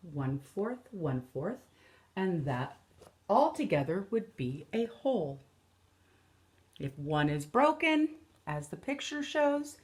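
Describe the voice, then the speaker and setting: Very slow-paced woman reading to children. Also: low-pitched. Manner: deliberate, explanatory, counting out and repeating key phrases. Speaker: elementary school teacher. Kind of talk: picture-book read-aloud lesson for children. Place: living or dining room at home, indoors.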